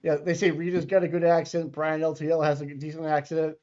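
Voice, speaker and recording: A man from the Boston area co-hosting a casual live stream, talking in a rambling, halting way and swearing casually. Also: a little high, level tone, darkish tone, lo-fi sound. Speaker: co-host.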